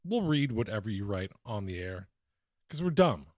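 A man's voice: on the low side, big pitch swings, normal speed, a little mellow, dry recording, clean studio sound. A man co-hosting a podcast in a casual, chatty style. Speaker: podcast co-host. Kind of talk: casual chat.

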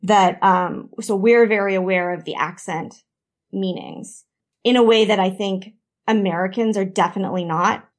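A woman's voice: medium pitch, normal speed. A woman with a Canadian accent hosting a podcast discussion, chatty and prone to long tangents. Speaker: podcast host.

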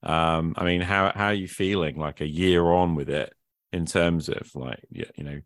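A man's voice: sits low, fairly even intonation, normal speed, no room sound, clean studio sound. A man hosting a podcast in an informal, rambling conversational way, thinking aloud as he goes. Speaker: podcast host. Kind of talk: casual conversational interview.

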